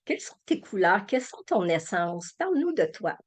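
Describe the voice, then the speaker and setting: A woman's voice: medium pitch, very animated, moderate pace, husky. A woman hosting an interview, asking long, hesitant questions with fillers and restarts. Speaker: interview host. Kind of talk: warm conversational interviewing.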